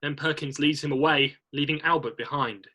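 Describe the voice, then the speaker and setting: A man's voice: a little high, fairly even intonation, a little fast, pushed and bright. A man narrating, reading screenplay stage directions aloud in an amateur read-through.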